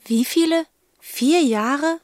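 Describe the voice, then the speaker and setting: An actress as a narrator in a German radio play, speaking in terse, clipped phrases. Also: female voice, high-pitched, slow, darkish tone, dry recording, studio quality. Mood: narrating. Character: female narrator, terse commenting chorus voice. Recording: music behind the voice.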